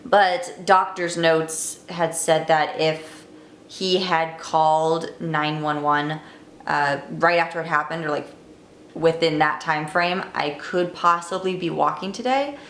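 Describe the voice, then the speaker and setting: A woman's voice: low voice, steady tone, slow, fairly bright tone, slight background noise. A twenty-year-old woman vlogging, telling her life story to camera in a candid, wry, chatty way. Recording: echoey room, faint ambience.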